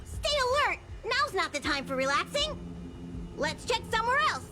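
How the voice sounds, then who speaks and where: Very squeaky, bossy little mascot creature. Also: female voice, sing-song, moderate pace, over loud music. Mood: urgent, bossy. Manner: urgent, scolding, speaks of itself in third person. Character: small, bossy, fretful mascot creature conductor of a space train. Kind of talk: animated video game voice acting.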